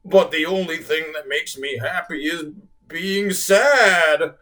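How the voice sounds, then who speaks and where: A man voicing a huge, sad, self-pitying male blue whale in a deep, slow, mournful drone, in a read-aloud storybook. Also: lively intonation, a bit bright, lightly acted.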